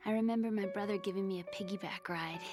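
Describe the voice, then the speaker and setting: A woman plays a shy, soft-spoken young woman from a video game, speaking hesitantly. Clear game music plays behind her in the cutscene. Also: medium pitch, fairly even intonation, quick pace, lightly acted. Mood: wistful. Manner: soft and hesitant, slipping into whispers.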